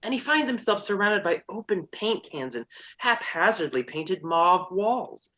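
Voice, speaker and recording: A woman's voice: mid-range voice, animated, a little slow, fairly bright tone, dull audio. A woman narrating a scripted comedy with wry sass. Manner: wry, sassy.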